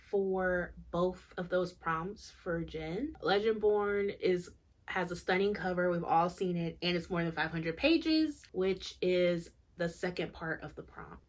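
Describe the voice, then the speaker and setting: A woman co-hosting a book vlog, chatting casually about reading plans in a playful, gushing, jokey manner. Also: on the low side.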